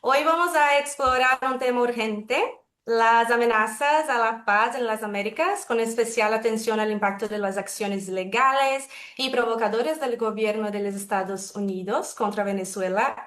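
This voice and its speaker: A woman from Brazil, speaking as a second language with a Brazilian Portuguese accent, moderating a webinar in a measured, careful way. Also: fairly high pitch, a bit bright, reverberant. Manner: measured, careful, self-correcting. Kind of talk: formal opening remarks. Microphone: video call.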